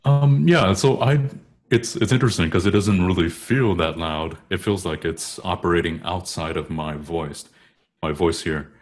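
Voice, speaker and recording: Male interview guest, deep-pitched, informal and explanatory, thinking aloud with halting rephrasing. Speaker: guest singer and choral bass.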